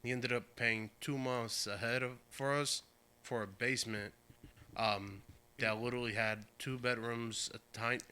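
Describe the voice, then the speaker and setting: This man grew up in Portugal and talks with a strikingly bright, crisp, clean tone. He tells the story in a casual, rambling way, backing up to fix his words and laughing easily. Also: medium pitch, steady tone, moderate pace. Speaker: podcast guest.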